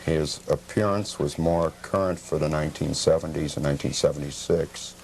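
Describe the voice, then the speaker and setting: A middle-aged man with a very deep voice gives interview answers. He is measured and slightly formal, stating things plainly, on an old cable TV tape with faint noise. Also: a little mellow, rough recording. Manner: measured, formal, matter-of-fact. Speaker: interview guest, memorabilia collector. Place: television program set.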